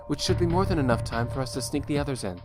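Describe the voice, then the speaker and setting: A man playing an earnest, quietly confident young saboteur in an audio drama, speaking in a measured way. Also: medium pitch, lively intonation, loud music behind the voice, natural voice.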